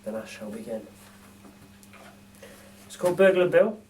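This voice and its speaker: Animated man reading a children's story. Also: on the high side, measured pace. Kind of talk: expressive children's story narration. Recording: distant microphone.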